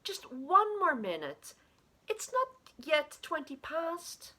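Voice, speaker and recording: A woman's voice: sits high, huge pitch swings, slow, bright, forceful tone, voice pushed up, heavily acted. A woman voicing a sleepy wife in a story who bargains to stay in bed. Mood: pleading. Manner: mumbling, bargaining. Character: sleepy procrastinating wife and mother, stalling in bed.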